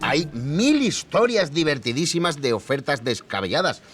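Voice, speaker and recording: A man playing a rambling expert interviewee who explains in a halting, conversational way, often repeating himself. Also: on the high side, sing-song, a bit bright, faint music behind. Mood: amused, explaining.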